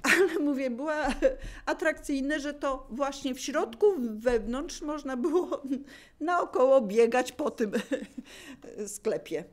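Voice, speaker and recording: Higher-voiced older woman reminiscing. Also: lively intonation, slow. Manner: searching for words, repeating herself to explain. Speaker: local resident sharing memories. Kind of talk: casual spoken reminiscence.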